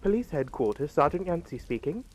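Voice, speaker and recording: An actor playing a courteous, unhurried police desk sergeant in a suspense drama, official and deferential on the telephone. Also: male voice, fairly high pitch, sing-song, background noise. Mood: official, puzzled. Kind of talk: radio suspense drama acting.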